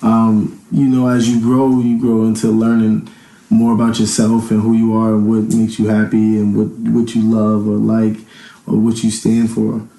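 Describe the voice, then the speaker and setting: A man in his thirties talks casually as a guest in an interview. His voice is dark and mellow, and he rambles as he thinks out loud. The room around him is echoey. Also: on the low side, completely flat delivery, normal speed. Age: 30s. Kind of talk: casual chat.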